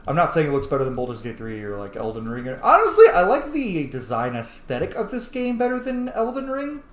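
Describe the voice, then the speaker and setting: A man giving casual, chatty, sweary commentary as he plays a video game, thinking out loud. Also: fairly high pitch, huge pitch swings, a little fast. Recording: echoey room, faint background noise.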